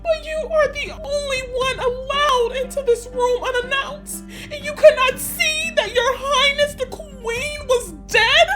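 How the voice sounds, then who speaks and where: A man voicing an angry, grieving preteen princess in a shrill, tearful voice for a dark fairy-tale audiobook. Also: steady tone, a little slow, hardly any pauses, over music. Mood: furious accusing.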